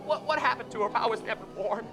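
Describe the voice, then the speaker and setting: A man's voice: very high-pitched, quick pace, over music. A young man playing a desperate man who stammers and pleads, on a theater stage at a stand mic. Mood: pleading. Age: young adult. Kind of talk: live radio play acting. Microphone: vintage stand mic on stage.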